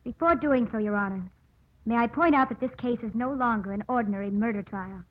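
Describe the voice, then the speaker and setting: A woman's voice: fairly high pitch, fairly quick, close to an everyday voice. An actress playing an earnest, composed woman lawyer in a vintage radio drama, speaking formally and emphatically. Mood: firm, formal. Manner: formal, emphatic courtroom oratory. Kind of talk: 1940s-50s radio drama acting. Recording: muffled old radio broadcast.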